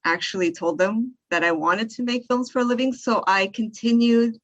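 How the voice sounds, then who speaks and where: A woman's voice: on the high side, normal speed, lo-fi sound. A woman interviewed as a film writer, telling her own story conversationally. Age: 30s or older.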